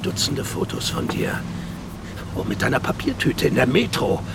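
An actor playing a gloating, mock-friendly Armenian nationalist bomber in a crime radio drama, theatrical and taunting. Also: male voice, medium pitch, sing-song, normal speed. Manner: theatrical, taunting, falsely jovial. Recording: outdoor vehicle noise behind.

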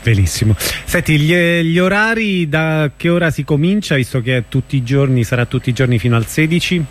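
A man's voice: fairly high pitch, expressive, brisk, a little hiss or hum. A man hosting an informal radio interview, prompting and confirming in a conversational way.

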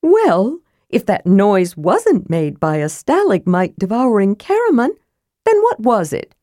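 Small male kender for a fantasy audiobook, played by a woman: high, animated, curious and childishly earnest. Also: measured pace, no echo, studio quality. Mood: puzzled, practical.

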